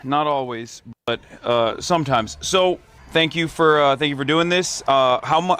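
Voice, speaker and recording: A man's voice: fairly high pitch, moderate pace, a bit bright, clear, resonant tone. A man streamer interviewing a guest in a friendly, loose way, with plenty of "uh" and "um". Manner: friendly, loose, hesitant fillers. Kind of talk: casual interview.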